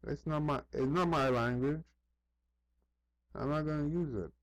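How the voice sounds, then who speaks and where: Higher-voiced man rambling casually. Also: unhurried. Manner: conversational, repeats himself, often says "you know"; acts out other people's remarks in quotes. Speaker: vlogger. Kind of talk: casual rambling monologue with anecdotes.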